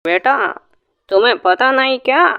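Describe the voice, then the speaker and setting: A woman's voice: high-pitched, normal speed, smooth, highs cut off, natural voice. A woman playing a village elder in a cartoon fairy tale, giving a grave warning. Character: villager elder warning youth about cursed cave.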